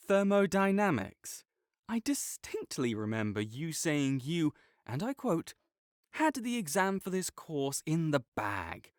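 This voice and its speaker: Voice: medium pitch, sing-song, slow, no room sound, studio quality. A young adult performer voicing a sarcastic, condescending academic rival with a slight tsundere streak, teasing throughout. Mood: smug. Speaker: audio roleplay performer. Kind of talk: scripted audio roleplay acting.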